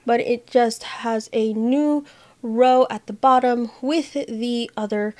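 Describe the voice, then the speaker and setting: A woman's voice: fairly high pitch, steady tone, takes it slowly, darkish tone. A woman hosting a casual tech walkthrough, talking in a conversational, opinionated way. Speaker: host of accessibility tech tutorial videos.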